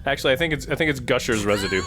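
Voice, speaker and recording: A man chatting casually as a tabletop game player, halting and searching for his words. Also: medium pitch, lively intonation, music in the background. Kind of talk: casual chat. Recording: noticeable background noise.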